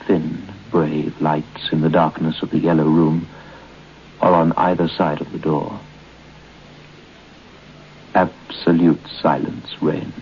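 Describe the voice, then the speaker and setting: A man narrating an old radio ghost story in a deliberate, ominous way with weighty pauses. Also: a bass voice, gravelly, full character voice. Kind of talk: scripted old time radio horror narration. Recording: muffled old radio recording with hiss.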